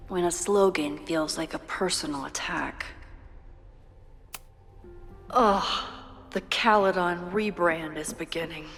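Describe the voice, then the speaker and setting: A woman's voice: on the low side, natural voice. A woman playing an inquisitive young photographer and amateur sleuth in a video game, casual, dry and probing. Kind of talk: naturalistic video game acting.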